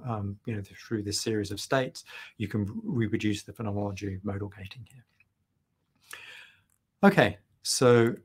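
A middle-aged man giving a keynote lecture over slides on a video call, full of ums and restarts. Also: fairly low pitch. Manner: hesitant, many ums and restarts. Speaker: keynote speaker. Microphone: webcam on video call.

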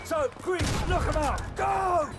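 An actor playing a forceful squad leader in a video game, shouting urgent combat orders. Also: male voice, very high voice, takes it slowly, vocal fry, full character voice. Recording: very loud gunfire and battle noise.

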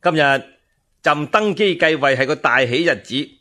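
A man voicing a calculating new emperor with outward politeness in a Cantonese storytelling drama, controlled and smooth-talking. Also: a little high, expressive, moderate pace, bright, forceful tone. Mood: falsely genial.

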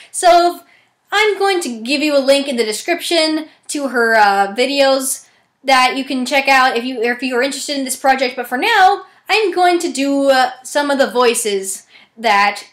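A woman's voice: sits high, lively intonation, unhurried, fairly bright tone. A young adult woman chatting casually in a rambling, self-deprecating way about auditioning as an amateur voice actor. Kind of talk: casual chatty vlog narration. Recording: echoey room.

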